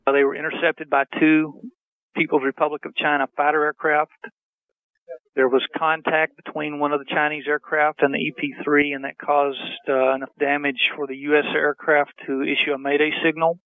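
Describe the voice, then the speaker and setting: A man giving a halting, matter-of-fact briefing as an official spokesman, on thin archive audio. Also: on the high side, little pitch movement, dry recording, phone-quality sound. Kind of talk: briefing statement.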